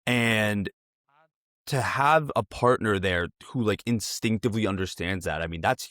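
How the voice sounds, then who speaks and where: A man's voice: on the low side, a little slow, a bit bright, no room sound, studio quality. A man in his twenties hosting a casual sports interview, talking in a rambling, digressive way. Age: 20s.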